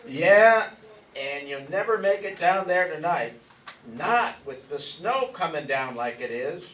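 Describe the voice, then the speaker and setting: A man's voice: on the high side, animated, a little slow, fairly bright tone. A man playing a kindly, folksy older farmer with grown children in an old-time radio drama. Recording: roomy, distant mic, faint music behind.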